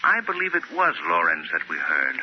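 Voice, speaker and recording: An actor playing a suave, thoughtful gentleman detective, measured and courteous, in 1940s radio style. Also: low-pitched, wildly swooping pitch. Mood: thoughtful, resolved. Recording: muffled, hissy 1940 radio broadcast.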